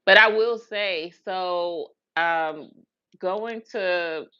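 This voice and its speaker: A woman's voice: on the low side, drawn-out words, smooth, dry recording, lo-fi sound. An American woman chatting casually on a livestream, telling rambling personal stories in a colloquial way and repeating words for emphasis. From Huntsville, Alabama and Brooklyn, New York, USA. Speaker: livestream host, hairstylist.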